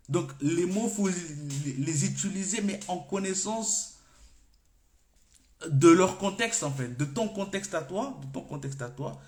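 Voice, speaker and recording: A man whose first language is Comorian, giving an unscripted coaching talk to camera in French. He thinks aloud in an informal way, often stopping and restarting his phrases. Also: a little high. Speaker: personal development coach. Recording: echoey room.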